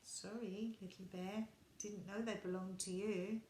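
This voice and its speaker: A woman's voice: medium pitch, steady tone, measured pace, dark tone, close to an everyday voice. A woman voicing a busy, apologetic female toy zebra who explains earnestly in a children's storybook. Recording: echoey room with background noise.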